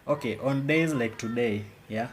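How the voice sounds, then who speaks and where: A man's voice: mid-range voice, moderate pace, slight background noise. A man with a Kenyan accent giving casual live commentary on his trades, often repeating words and phrases. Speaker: day trader.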